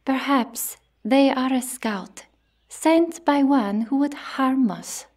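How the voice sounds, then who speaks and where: A woman acting a high, breathy, suspicious Amazon guard. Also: slow, darkish tone. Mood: suspicious, scolding. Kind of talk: ASMR roleplay acting.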